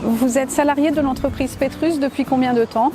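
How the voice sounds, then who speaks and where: A woman's voice: high voice, fairly even intonation, fairly quick, noisy background. A woman interviewer asking polite, formal questions for a local report. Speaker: interviewer for a local media outlet.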